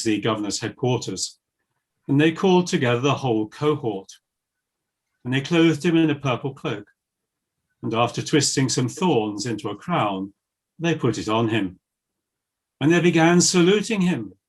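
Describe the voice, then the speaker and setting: Husky male scripture narrator. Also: medium pitch. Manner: measured, formal. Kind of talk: solemn scripture reading.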